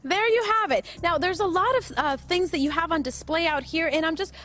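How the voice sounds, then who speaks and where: A woman's voice: extremely high pitch, fairly quick, fairly bright tone. A young woman reporting live for morning TV with a handheld mic in a big museum hall, bubbly and chatty. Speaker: field reporter. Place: large indoor museum hall.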